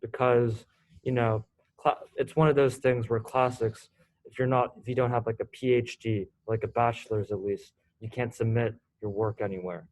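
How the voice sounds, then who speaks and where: A teenage boy from South Korea, a student organizer hosting a casual video call meeting, talking haltingly with lots of fillers. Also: medium pitch, fairly even intonation, darkish tone, no echo, low-quality recording. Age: teens.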